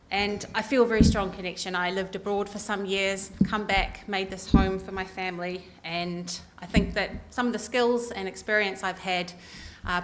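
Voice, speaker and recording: A woman's voice: medium pitch, fairly bright tone, slight background noise. A middle-aged woman with a New Zealand accent giving an earnest election speech at a community meeting, with many ums and uhs. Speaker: council election candidate. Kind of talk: campaign speech. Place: community hall meeting room.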